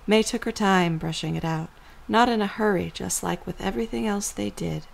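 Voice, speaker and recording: Creaky-voiced woman narrating a story. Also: mid-range voice, moderate pace.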